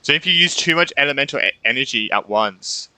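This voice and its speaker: Animated, bright-voiced male game streamer. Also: medium pitch, fairly quick, clear, resonant tone, dry recording, rough recording. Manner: repeats phrases, enthusiastic, informal. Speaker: gaming streamer. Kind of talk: casual game playthrough reactions.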